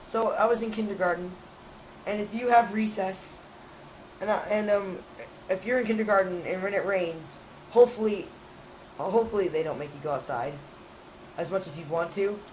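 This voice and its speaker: Young male co-host, hoarse and raspy, rambling, faint noise behind. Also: high voice, moderate pace, rough recording. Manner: rambling, restarts often. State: hoarse from a cold. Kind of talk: casual chat.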